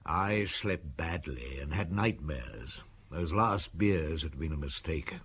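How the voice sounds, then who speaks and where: An actor playing a measured, probing police chief inspector in a radio drama, dry and reserved, asking terse questions. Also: male voice, extremely low pitch, lively intonation, fairly bright tone, a little hiss or hum, heavily acted. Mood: weary narration. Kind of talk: radio drama dialogue and narration.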